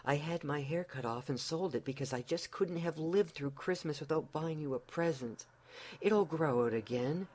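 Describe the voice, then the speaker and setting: A man's voice: on the high side, normal speed, darkish tone, a little hiss or hum, voice pushed up, close to an everyday voice. A man voicing an anxious, loving young wife in a story, pleading earnestly with her husband. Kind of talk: storybook character voice.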